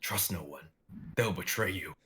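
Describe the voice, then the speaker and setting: A man's voice: sits low, normal speed, pushed and bright, slightly rough, exaggerated voice. A man voicing a menacing demon inside someone's head, sinister and commanding, in a dramatic script reading. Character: menacing inner demon voice whispering distrust.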